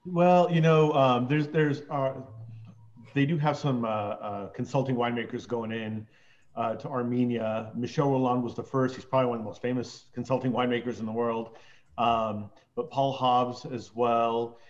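A man speaks on a panel over slightly muffled, lo-fi call audio. His delivery is casual and halting, with frequent pauses as he explains. His pitch sits in the middle. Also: steady tone. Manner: halting, many pauses and trailing phrases. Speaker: panelist, wine expert. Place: remote virtual panel call.

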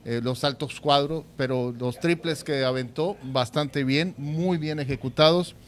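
A man's voice: on the high side, measured pace. A man co-hosting a sports show, giving commentary and analysis into a handheld microphone. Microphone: handheld microphone, masked speaker. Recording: noticeable noise behind the voice.

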